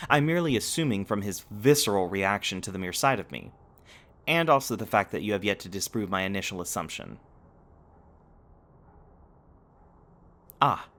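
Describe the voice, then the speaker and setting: A man's voice: lowish voice, fairly bright tone, heavily acted. A man playing a polite, curious companion robot in an ASMR roleplay, speaking formally and precisely with a literal-minded courtesy. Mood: earnest, questioning. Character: polite, curious, eager-to-please male humanoid companion robot. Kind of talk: ASMR roleplay audio drama acting.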